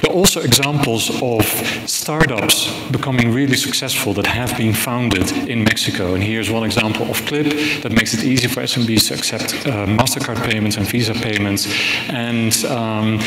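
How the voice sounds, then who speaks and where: A man from the Netherlands giving a relaxed conference keynote from a stage, often repeating words and making joking asides. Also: medium pitch, brisk, few pauses. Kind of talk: conversational keynote talk. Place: conference stage in a large hall. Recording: echoey hall with background noise.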